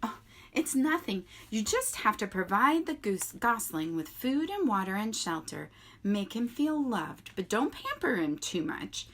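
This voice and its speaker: A woman's voice: medium pitch, sing-song, measured pace, a bit bright, heavily acted. A woman voicing an old female goose in a storybook reading, scolding and matter-of-fact. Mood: brisk. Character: bossy old gray female goose, blunt and brisk.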